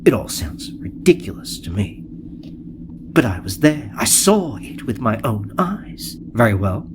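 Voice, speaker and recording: An actor playing a hot-tempered, imperious starship captain in an audio drama, with a commanding, emphatic delivery. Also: male voice, on the low side, big pitch swings, unhurried, noisy background. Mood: gruff, commanding.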